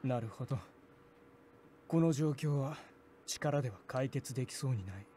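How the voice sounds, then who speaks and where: A man's voice: medium pitch, fast talker, mellow, a little hiss or hum, close to an everyday voice. A man playing a stern, cold, menacing character with clipped, formal, commanding speech in a Japanese visual novel. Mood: guarded, calculating.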